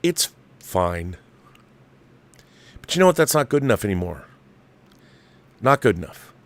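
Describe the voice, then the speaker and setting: A man's voice: on the low side, very animated, unhurried, a bit bright. A man hosting a livestream, giving casual, opinionated film commentary with a theatrical showman's turn of phrase.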